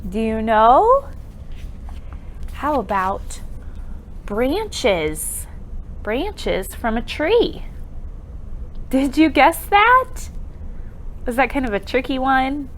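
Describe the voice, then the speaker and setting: Very slow, high-voiced woman leading children's storytime. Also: a little hiss or hum. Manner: encouraging, asks children questions and pauses for answers; expressive, exaggerated delivery for young children. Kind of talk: interactive children's storytime with sing-along rhymes.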